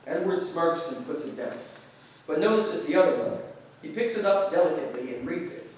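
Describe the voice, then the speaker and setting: A man's voice: on the high side, expressive, brisk, darkish tone. Man reading stage directions, echoey room. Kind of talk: scripted narration at a staged reading. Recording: very echoey room, distant mic.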